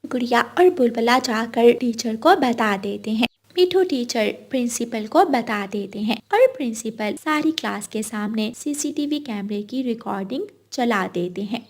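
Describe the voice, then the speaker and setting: A woman's voice: a little high, expressive, moderate pace, few pauses. A woman narrating a scripted children's cartoon story.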